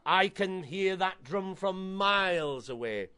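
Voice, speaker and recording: A man's voice: high voice, lively intonation, slow, pushed and bright, clean tone, voice pushed up, heavily acted. A man voicing a horrible, bossy old aunt from a folk tale, with a cackling old lady voice and a scolding manner. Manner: scolding, repetitive demands. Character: horrible old village aunt, bossy, grudging, drum-hating.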